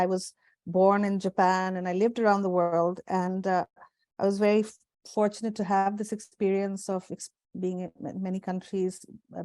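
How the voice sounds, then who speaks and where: Middle-aged woman, mellow tone, earphone mic on a video call, dry sound, pauses and restarts. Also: mid-range voice, no room sound. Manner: thoughtful, many fillers and restarts. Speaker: guest, executive director. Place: home room indoors. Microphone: earphone headset on video call.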